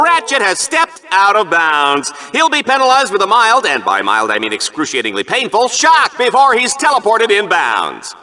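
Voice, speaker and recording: An actor playing a brash, shallow sci-fi game show announcer, theatrical and smug, swinging between hyped commentary and chatty asides. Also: male voice, high-pitched, sharp tone, heavily acted. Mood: excited, glib.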